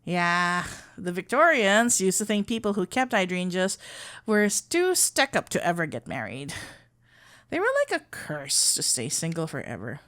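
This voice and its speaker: A woman playing a shy, tender florist best friend in love, talking haltingly and awkwardly in an intimate ASMR roleplay. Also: fairly low pitch, big pitch swings, fairly bright tone. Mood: awkward, chatty. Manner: halting, stammering, awkwardly earnest. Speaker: audio roleplay performer.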